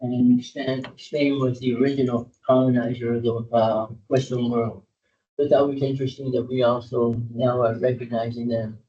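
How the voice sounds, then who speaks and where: Mellow-voiced man chairing a board meeting. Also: little pitch movement, normal speed, distant mic. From Cuba. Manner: hesitant, many filler sounds. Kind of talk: public board meeting, reading a proclamation. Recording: dull low-fidelity meeting audio.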